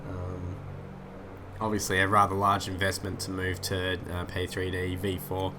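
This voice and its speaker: A lower-pitched man, nasal and stuffy from a head cold, talks his way through a flight simulator session. He rambles, fills gaps with "uh", and drifts off on side stories. There is a steady hiss of noise behind him. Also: measured pace. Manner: rambling, many "uh" fillers, easily sidetracked. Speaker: flight sim YouTuber. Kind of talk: casual gameplay commentary.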